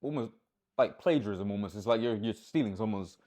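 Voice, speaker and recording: Male podcast co-host, quick eager delivery. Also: fairly low pitch, darkish tone. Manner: quick, eager, agrees often. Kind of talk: casual chat.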